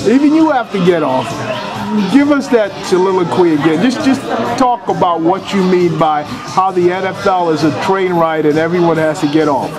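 A man's voice: high-pitched, expressive, normal speed. A man asking questions as an interviewer in a conversational style. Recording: music and crowd chatter behind.

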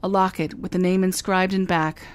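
A woman's voice: lowish voice, level tone, normal speed, smooth, no room sound, lightly acted. A woman playing a wisecracking lady private eye. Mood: narrating. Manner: dry, wry first-person hardboiled narration. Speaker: narrator and lead detective. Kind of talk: 2009 re-creation of 1950 radio drama. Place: recorded live, San Francisco.

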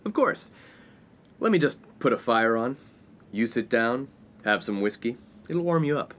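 A man's voice: mid-range voice, expressive, unhurried, a bit bright, a little hiss or hum. An actor playing a smug, spoiled, lecherous 1950s college man in a comedic radio play, talking in a pompous, mock-philosophical way. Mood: suave, boastful. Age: young adult.

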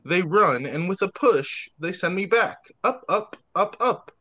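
Animated man reading aloud to children. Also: high-pitched. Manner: expressive, drawn-out words, child-friendly asides. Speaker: teacher reading picture books to pupils. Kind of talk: animated read-aloud story time for young children. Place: indoors on a video call.

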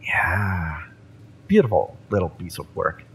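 Guy voicing a fiery young mercenary in an audio rp, deep slow taunting drawl, smug and threatening, some background ambience noise. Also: animated, fairly bright tone, heavily acted. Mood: smug, menacing. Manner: taunting, smug, drawn-out menace with swearing. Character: cocky, fiery young male cyberpunk mercenary, taunting and menacing. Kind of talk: dramatic audio roleplay, intimate villain-romance acting.

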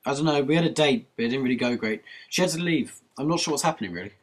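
A man's voice: medium pitch, animated, very fast, close to an everyday voice. A young man playing an awkward, friendly college student in casual drama dialogue. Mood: hesitant. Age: young adult.

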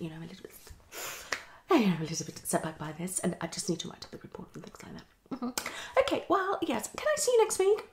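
A woman's voice: mid-range voice, a little hiss or hum. A young woman playing a hasty, tactless female psychologist in an ASMR roleplay, half whispering in a condescending, hesitant way. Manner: fast switching, hesitant repetitions, condescending. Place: small living room, indoors.

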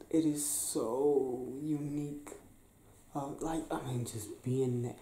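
A young man speaks softly and slowly in an intimate ASMR boyfriend roleplay. His delivery is affectionate and playful, with halting, improvised phrasing and plenty of fry in the voice. It is recorded in a bedroom with some room sound and a faint noise. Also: medium pitch, echoey room. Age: young adult. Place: small indoor bedroom, lying on a bed.

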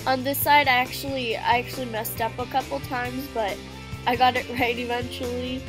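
A woman's voice: on the high side, flat delivery, moderate pace. A teenage girl with a stuffy, nasal voice chatting casually as she narrates her makeup routine. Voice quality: nasal, congested. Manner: chatty, with fillers like "um" and "like". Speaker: beauty vlogger. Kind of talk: casual get-ready-with-me voice-over. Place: home living room. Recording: music playing behind the voice.

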